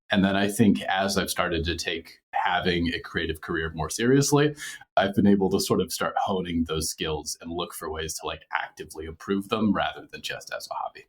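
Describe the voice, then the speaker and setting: A man with a fairly low voice answers interview questions in a conversational way. He sounds reflective as he explains himself, leaning often on "like" and "sort of". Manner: reflective, peppers speech with "like" and "sort of". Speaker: podcast creator being interviewed.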